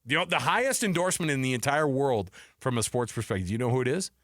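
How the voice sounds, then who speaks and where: A man hosts casual sports talk on the radio. His voice sits at an average pitch, and he is close on the microphone in a very dry studio. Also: lively intonation, fast talker, fairly bright tone, no room sound. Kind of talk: casual sports talk, running a list quiz.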